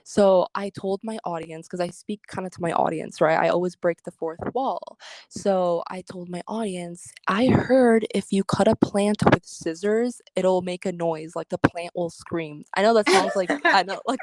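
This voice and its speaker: Young woman w a low alto, chatty and upbeat, laughs a lot, dry close mic. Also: a little mellow, no room sound. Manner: upbeat, laughs often, filler-heavy. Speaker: guest, singer and content creator. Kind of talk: casual interview answers.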